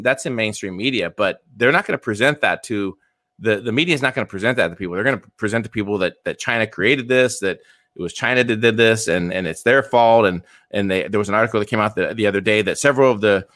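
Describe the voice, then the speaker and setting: A man's voice: fairly low pitch, fairly bright tone, dry recording, studio quality. A man in his fifties, co-hosting a casual political podcast chat, often repeating words as he argues his point. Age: 50s. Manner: repeats words, speculative and emphatic. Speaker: podcast co-host.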